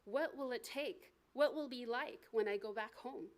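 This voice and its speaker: An American woman reading aloud from her memoir as its author, in an expressive, deliberate storytelling manner at a live event. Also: fairly high pitch. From United States. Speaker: author reading from own book.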